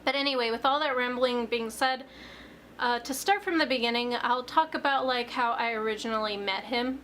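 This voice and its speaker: A woman in her twenties, a little hoarse after being ill, chatting casually to camera in a rambling way with lots of fillers. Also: steady tone, moderate pace, fairly bright tone, slight background noise. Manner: rambling, filler-heavy, jumps up in pitch on emphasis. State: slightly hoarse after illness. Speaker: vlogger. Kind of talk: casual confessional chat to camera.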